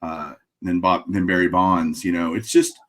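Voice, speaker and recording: A middle-aged man from Texas with a Texas accent, a guest on a video call from a room of framed memorabilia, relaxed and dry-humored as he thinks out loud. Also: low voice, moderate pace. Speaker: guest collector. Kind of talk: casual interview over video call. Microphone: webcam video call.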